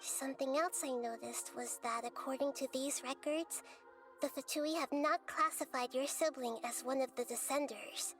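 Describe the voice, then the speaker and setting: A woman playing a small, wise young goddess in a game, speaking in a calm, measured, articulate and gently explanatory way. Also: high voice. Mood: grave, thoughtful. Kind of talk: scripted game dialogue. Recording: background music under the voice.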